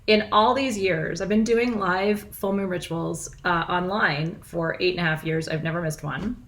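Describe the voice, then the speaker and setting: A middle-aged woman with a froggy, hoarse voice, hosting a live online ritual from her small house in casual, bubbly chat, laughing often. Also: lowish voice, moderate pace, a bit bright. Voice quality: froggy, husky. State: hoarse, nearly lost voice. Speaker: spiritual teacher hosting a live full moon ritual.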